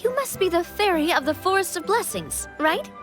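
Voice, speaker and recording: Confident young female leader, high lively voice, playful and breezy, video game cutscene, light music behind. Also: moderate pace. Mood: friendly. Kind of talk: English video game voice acting.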